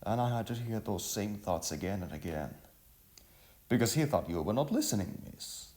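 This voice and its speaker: A man playing an earnest young village workman in a storybook, formal, deliberate and halting. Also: fairly low pitch, big pitch swings, darkish tone, pitched above the performer's own voice. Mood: earnest, explaining.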